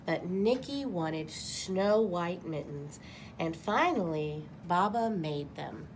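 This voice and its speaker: A woman reads a children's story aloud at an unhurried pace. Her pitch moves up and down in an animated way, and a faint noise sits behind her voice. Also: poor audio. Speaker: guest storybook reader.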